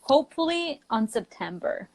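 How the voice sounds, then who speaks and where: A woman's voice: high voice, very animated, normal speed, low-quality recording. A native Spanish-speaking woman with a Mexican accent, an English teacher chatting casually and thoughtfully as a guest on a live stream. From Mexico, near the US border.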